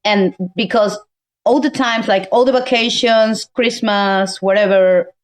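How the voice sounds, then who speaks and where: A woman's voice: medium pitch, fairly even intonation, unhurried. A woman from Venezuela, a native Spanish speaker with a Venezuelan accent, chatting casually as a podcast guest. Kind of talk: casual chat.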